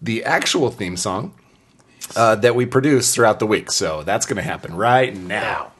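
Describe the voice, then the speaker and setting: A man with a raspy voice, hosting a casual podcast with mock-grand announcements. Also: on the low side, lively intonation, moderate pace, noisy background. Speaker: podcast host.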